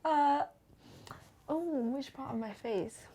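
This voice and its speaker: A woman's voice: high-pitched, slow, dark tone. A young woman from China answering interview questions frankly, with frequent "like" fillers. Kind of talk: documentary interview.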